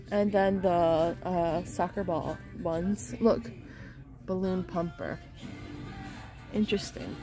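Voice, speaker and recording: Slow-talking woman browsing a store. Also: mid-range voice. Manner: chatty, pointing out items and reading prices aloud. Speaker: shopping vlogger. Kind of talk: casual shop-with-me narration. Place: large home goods store, indoors.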